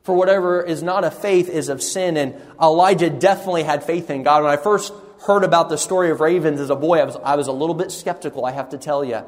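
A man preaching an earnest sermon, often repeating words and pausing with fillers. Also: a little high, long reverb tail. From raised partly in Sri Lanka on the mission field.

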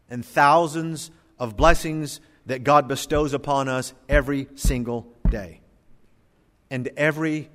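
A middle-aged male pastor preaching a sermon in a church, moving between loud emphatic bursts and quiet, low asides. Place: church sanctuary. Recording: church hall reverb.

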